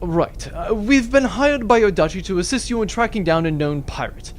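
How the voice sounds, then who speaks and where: A cocky captain of a starship, acted by a man, grandiose and flippant, with a highish voice that swings widely. It is comic audio drama, recorded with noise and a low rumble. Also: expressive, normal speed. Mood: impatient, sardonic. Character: flamboyant, cocky, glib male spaceship captain. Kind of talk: comedic sci-fi audio drama acting.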